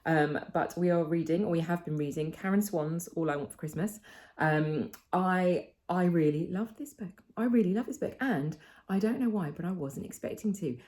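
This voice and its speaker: A woman's voice: fairly low pitch, moderate pace, darkish tone. A British woman chatting casually and enthusiastically as she hosts a book club review. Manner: enthusiastic, conversational.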